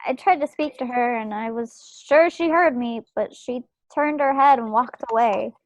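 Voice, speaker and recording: Woman playing a higher-voiced, wry young 1940s woman. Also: normal speed, no room sound, muffled recording, lightly acted. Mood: wry, playful. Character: sharp-witted young 1940s small-town woman, Maxine, wry. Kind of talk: stage play table read. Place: at home on a video call. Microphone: computer microphone on a video call.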